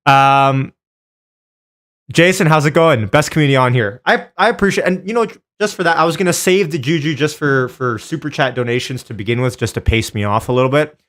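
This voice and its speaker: A man's voice: mid-range voice, a little fast, fairly bright tone. A man hosting a live stream, chatting informally with viewers by name and giving casual commentary.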